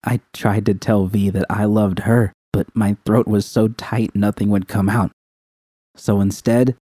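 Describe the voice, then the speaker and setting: Mellow, lowish-voiced man narrating fiction. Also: no echo. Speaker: audiobook narrator. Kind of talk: scripted first-person dramatic fiction narration.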